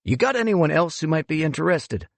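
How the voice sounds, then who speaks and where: A man's voice: fairly high pitch, very animated, brisk, vocal fry, dry recording, voice pushed up. A man voicing a smug, pushy male museum executive who talks in a breezy, condescending way.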